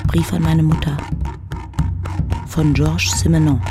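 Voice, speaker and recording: A radio drama announcer reading scripted credits in a formal, measured manner. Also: mid-range voice, little pitch movement. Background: prominent music.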